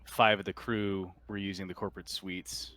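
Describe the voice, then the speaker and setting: Low-voiced man running a tabletop game. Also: little pitch movement, normal speed, pushed and bright. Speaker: game master.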